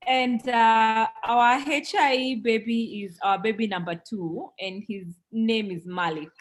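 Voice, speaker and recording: A woman's voice: fairly high pitch, steady tone, measured pace. A woman in her thirties from Kenya, a parent interviewed on a podcast, telling her story earnestly with frequent ums. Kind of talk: conversational storytelling.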